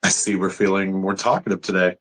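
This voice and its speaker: Male doctor played by a man, husky and measured, skeptical psychiatrist, table read delivery. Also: deep voice, steady tone, a bit bright. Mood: measured, probing.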